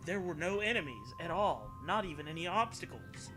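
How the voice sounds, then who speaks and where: A man mockingly reading a story aloud as a host, with profane, sarcastic and excitable joking commentary. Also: fairly high pitch, brisk. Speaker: host reading internet horror stories aloud. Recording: music behind the voice. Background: music.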